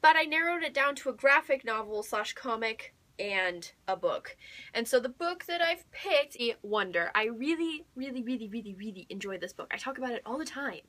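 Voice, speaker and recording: A woman's voice: fairly high pitch, lively intonation, moderate pace, pushed and bright. A young woman chatting casually as a book vlogger, gushing and emphatic, often repeating words for emphasis. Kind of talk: casual chat to camera.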